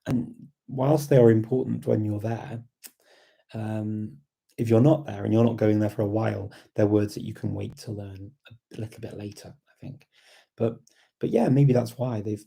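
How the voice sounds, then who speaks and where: A man talks casually to a livestream, in a very mellow, dark voice with some gravel in it. He is reflective and unhurried in his thinking, circling back on words as he works out what he means. His pitch sits a little on the low side. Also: steady tone. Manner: hesitant, reflective, repeats words while thinking. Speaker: livestream host and language learner. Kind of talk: casual live chat, thinking aloud.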